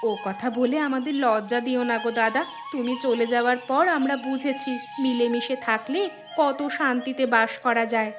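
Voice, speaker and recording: A woman's voice: fairly high pitch, fairly even intonation, normal speed, soft background music, natural voice. A woman playing a helpful, steadfast village aunt in a cartoon, earnest and reassuring in manner. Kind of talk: cartoon dubbing.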